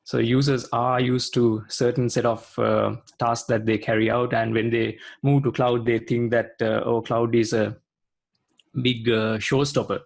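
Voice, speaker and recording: A man's voice: medium pitch, level tone, unhurried, vocal fry. A man from Sri Lanka speaking as a guest in a casual video-call interview, hesitant and full of fillers. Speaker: guest, IT consultant.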